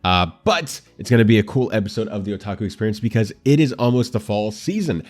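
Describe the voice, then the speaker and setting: A man's voice: lowish voice, animated, fast, darkish tone. Congested, nasal man hosting an anime show. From United States. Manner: chatty, talks straight to viewers. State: dry throat. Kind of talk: casual anime commentary.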